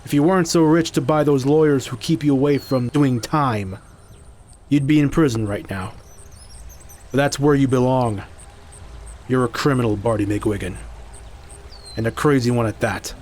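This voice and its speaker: A man plays a reclusive martial artist, proud and unbending. The medium-pitched voice is blunt, stern and deliberate, as in an audio drama. There is noise in the background. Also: a little mellow, close to an everyday voice. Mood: scornful. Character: proud, unyielding male martial artist living in seclusion.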